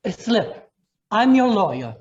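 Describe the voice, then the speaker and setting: A woman's voice: medium pitch, very animated, unhurried, darkish tone, highs cut off. A woman playing a blunt, no-nonsense gangster's lawyer in an old time radio crime drama, speaking emphatically. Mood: alarmed, urging.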